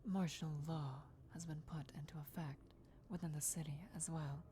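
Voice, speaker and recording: Woman as female vampire emissary for ASMR roleplay; low-leaning, mellow, breathy whisper, formal and reverent. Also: steady tone, slight background noise, lightly acted. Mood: hushed, deferential. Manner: hushed, formal, measured, reverent. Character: deferential female vampire emissary briefing her lord before war.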